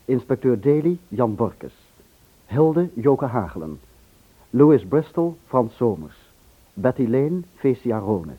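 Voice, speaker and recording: A man's voice: medium pitch, sing-song, slow, husky. A man announcing formally from a script, as a radio announcer reading out credits. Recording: muffled lo-fi old radio recording.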